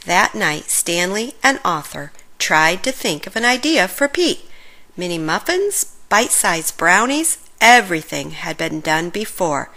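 A woman reading a children's story aloud in an expressive, animated way, with deliberate phrasing for young listeners. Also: lowish voice. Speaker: storybook reader.

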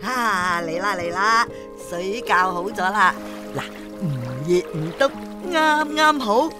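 Doting granny for radio drama: fussy, patient, coaxing, music underneath. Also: female voice, mid-range voice, animated, slow pace. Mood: fussing, caring. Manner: coaxing, repeats herself soothingly. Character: doting elderly grandmother, patient and fussing. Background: music behind the voice.

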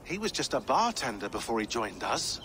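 A wry, talkative tech expert with a sardonic edge. His pitch swings widely as he explains. Faint ambient noise sits under him. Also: brisk. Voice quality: sardonic, animated.

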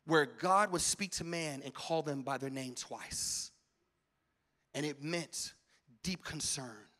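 A man in his forties preaching a sermon on a church stage with a handheld mic, swinging between shouted calls to the congregation and hushed, intimate talk. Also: high-pitched. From United States. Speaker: pastor. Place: large church stage with audience.

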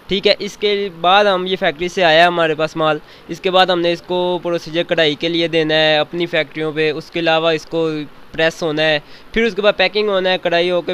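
A young man, a student and shop owner's son, earnestly pitching fabrics and guarantees into a handheld mic in a cloth warehouse. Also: fairly bright tone, clean tone. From Pakistan. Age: young adult. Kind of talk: product pitch. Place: indoors, small cloth warehouse.

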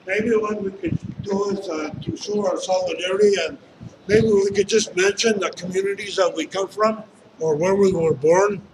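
A man from Moose Factory, Ontario, softly suggesting that people introduce themselves. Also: high-pitched, steady tone, normal speed. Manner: soft, gently suggesting. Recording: far from the mic, with outdoor noise.

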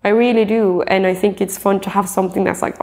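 A woman's voice: medium pitch, steady tone, quick pace, vocal fry, darkish tone. Woman, 20s, from Norway; musician in a sit-down portrait interview, earnest and rambling, clip-on mic. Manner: earnest and chatty, with frequent fillers and restarts. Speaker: pop singer-songwriter. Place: dim indoor room, seated in an armchair.

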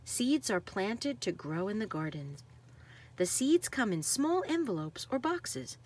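A woman's voice: mid-range voice, big pitch swings, measured pace. A woman reading a nonfiction picture book aloud to children like a teacher, stressing key words.

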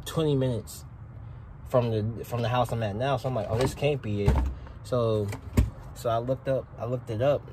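A young man chatting casually and informally in slangy speech, as a technician vlogging on the job. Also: lowish voice. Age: young adult. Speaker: rookie HVAC technician vlogging. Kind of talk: casual vlog chat. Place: outdoors beside air-conditioning units and vehicle. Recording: very noisy outdoor phone audio, vehicle sounds.

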